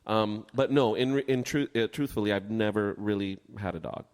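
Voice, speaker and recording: A man's voice: on the low side, normal speed, clean tone. A man in his forties chatting casually as a talk show co-host. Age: 40s. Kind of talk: casual chat. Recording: long hall-like reverb.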